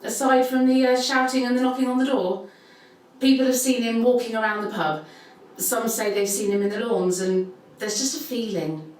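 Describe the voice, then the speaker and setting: A woman's voice: on the high side, steady tone, normal speed, a little mellow, lightly acted. A woman playing a guarded pub worker who tells local ghost tales in hesitant, clipped answers, as in a recorded interview. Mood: uneasy, matter-of-fact. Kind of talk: naturalistic audio drama interview. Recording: echoey room, distant mic, faint noise.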